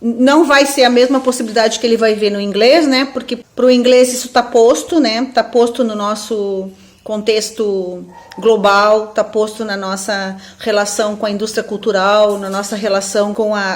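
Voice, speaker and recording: A woman with a southern Brazilian accent giving an informal academic webinar talk as a professor, thinking aloud and often checking in with tag questions. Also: fairly high pitch. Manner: reflective, seeks agreement with frequent tag questions. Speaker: university professor, webinar speaker.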